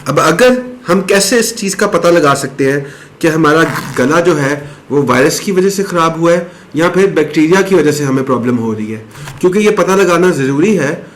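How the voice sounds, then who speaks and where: A man explaining a home remedy step by step in a casual, chatty way, now and then clearing his throat. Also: a little high, lively intonation, normal speed, a little hiss or hum. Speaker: health advice presenter. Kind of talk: casual explanatory talk to camera.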